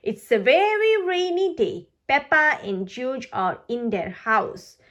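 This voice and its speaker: A woman reading a children's storybook aloud in an expressive, deliberate way for young listeners. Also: high-pitched, muffled recording. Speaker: storybook reader.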